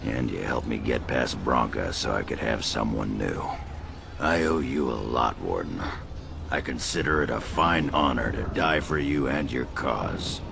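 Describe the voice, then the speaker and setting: A man playing a gruff, loyal, fatalistic dwarf warrior in a game, speaking bluntly and solemnly with a gravelly voice. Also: extremely low pitch, unhurried, heavily acted. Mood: solemn, grateful. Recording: clear background battle ambience noise.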